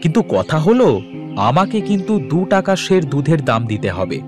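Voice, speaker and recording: A man acts out a poor village farmer in a cartoon, a stubborn and shrewd bargainer. His voice is fairly high and very animated, with music behind. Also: fairly quick. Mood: wary, stubborn. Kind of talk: Bengali moral cartoon voice acting.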